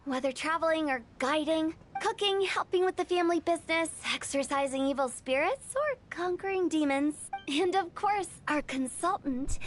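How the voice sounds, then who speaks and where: A very high, girlish video game character. This teenager is playful, theatrical and boastful, chattering with showy flair over faint noise. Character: playful theatrical teenage girl, cheeky funeral parlor director.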